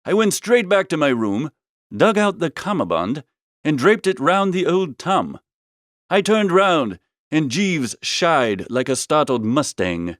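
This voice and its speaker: High, lively-voiced man narrating comic fiction. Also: slow pace, no echo, clean studio sound. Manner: breezy, theatrical, old-fashioned upper-class idiom. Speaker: audiobook reader. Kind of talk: scripted first-person comic story narration.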